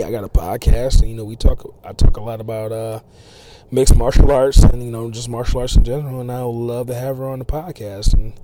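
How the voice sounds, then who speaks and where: A man hosts a raw, unedited podcast, talking in a loose and rambling way with plenty of fillers and false starts. His voice sounds slightly hoarse and worn from talking too much. His pitch sits in an ordinary middle range for a man. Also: mellow. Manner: rambling, many fillers and restarts. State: losing his voice, a bit hoarse. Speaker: podcast host. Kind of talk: unedited casual podcast monologue. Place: restaurant.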